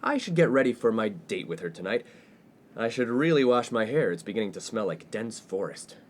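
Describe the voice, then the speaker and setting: A man's voice: lowish voice, very animated, brisk. An actor playing a pompous, boastful young college man who fancies himself a ladies' man in a comic radio play. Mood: breezy. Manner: pompous, boastful, theatrical delivery. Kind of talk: 1950s-set comedy radio play acting.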